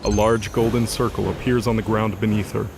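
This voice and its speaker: A man's voice: fairly low pitch, little pitch movement, brisk, gravelly, background noise. A man giving scripted dramatic narration as the narrator of an audio drama. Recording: music under the voice.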